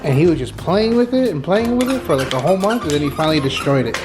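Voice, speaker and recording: A man's voice: a little high, expressive, fast talker. Casual man vlogging over background music. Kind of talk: casual home vlog chat.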